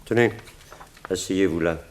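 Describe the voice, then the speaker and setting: An actor playing a cold, menacing, obsessive sculptor in a French radio drama, speaking tersely and with detachment. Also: male voice, low voice, unhurried. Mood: cold, detached. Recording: noisy old radio drama recording.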